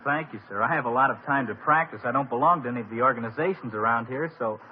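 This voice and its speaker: An actor playing an eager, shy young college student with an earnest, boyish gee-whiz manner, in 1950s radio comedy style. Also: male voice, medium pitch, fast talker, slight background noise, natural voice. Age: young adult. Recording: dull lo-fi 1950 radio broadcast.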